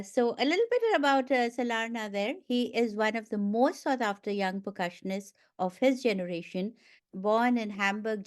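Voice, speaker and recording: A woman hosting a radio talk show, asking questions in a friendly, upbeat way with some fillers. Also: mid-range voice. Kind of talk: radio interview.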